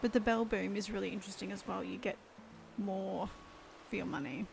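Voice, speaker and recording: A woman's voice: medium pitch, steady tone, a little fast, creaky, music in the background. A woman chatting casually on a game live stream, losing her voice and rambling as she thinks aloud.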